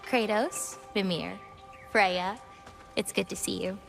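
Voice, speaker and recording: A woman's voice: mid-range voice, fairly even intonation, takes it slowly, vocal fry, fairly bright tone, faint music behind. An actress playing a friendly young woman in a video game. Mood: friendly, glad. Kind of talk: dramatic video game acting.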